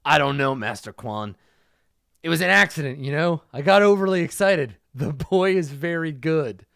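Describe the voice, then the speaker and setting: A sheepish martial arts teacher, read aloud by a man, hesitantly explains himself to his old master. The voice is fairly high, with a lively pitch. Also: moderate pace, creaky, dry recording, clean studio sound. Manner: apologetic, hesitant. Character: sheepish male martial arts school owner.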